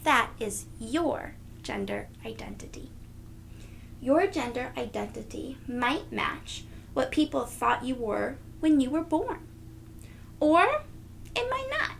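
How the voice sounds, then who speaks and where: A woman reading a storybook aloud to young children, expressive and gentle, speaking directly to them. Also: high voice, slow, creaky, slight background noise. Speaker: children's read-aloud host.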